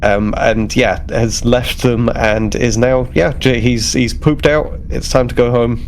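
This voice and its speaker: A man's voice: medium pitch, steady tone, a little slow, slight background noise. A man casually narrating his character's actions as a player in a tabletop role-playing game, improvising conversationally.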